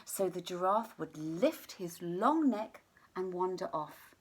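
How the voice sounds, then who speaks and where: A woman's voice: on the low side, expressive, takes it slowly, slightly rough. Woman reading a children's story aloud. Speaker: teacher reading a picture book to children.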